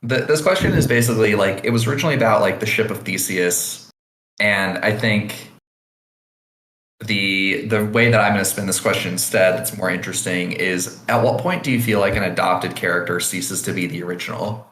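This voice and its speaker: A man's voice: lowish voice, a little fast, fairly bright tone. A man co-hosting a podcast, reading listener questions in laid-back casual chat with dry quips. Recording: echoey room.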